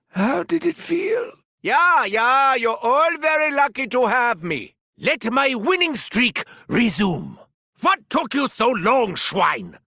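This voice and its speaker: Unhinged, wildly animated German mad scientist. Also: male voice, very high voice, dry recording, clean studio sound, full character voice. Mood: condescending, then smug, defiant. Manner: theatrical, pompous, swinging from muttering to shrieking. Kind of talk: scripted video game voice lines.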